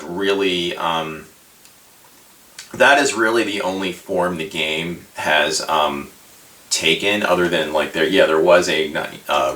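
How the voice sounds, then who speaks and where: A man with a low, bright-edged voice talks casually and off the cuff, like a video host looking back on a subject. His delivery halts and rambles, feeling its way tentatively through each thought. He sounds set back from the microphone in an echoey room. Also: distant mic. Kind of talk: casual unscripted retrospective talk.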